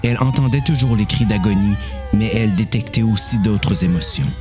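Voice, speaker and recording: A man's voice: lowish voice, brisk, music in the background. A man with a Quebec French accent narrating a scripted, dramatised story.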